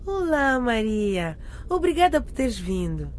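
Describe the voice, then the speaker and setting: A nosy teenage girl in a radio drama. She drawls slowly and swings widely in pitch, sardonic and dramatic with her friend. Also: big pitch swings, background noise. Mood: sardonic, resigned. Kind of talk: scripted radio soap acting.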